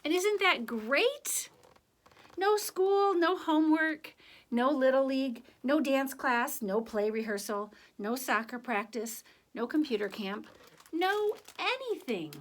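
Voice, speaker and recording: A woman reading a children's picture book aloud, with deliberate, expressive phrasing and conversational asides to young listeners. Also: high voice. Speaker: children's story reader.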